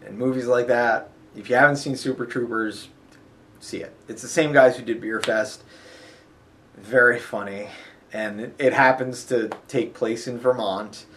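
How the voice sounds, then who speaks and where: A man's voice: medium pitch, a bit bright, a little hiss or hum. A man with a sniffly nose, chatting casually and rambling about a road trip, full of fillers. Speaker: vlogger. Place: hotel.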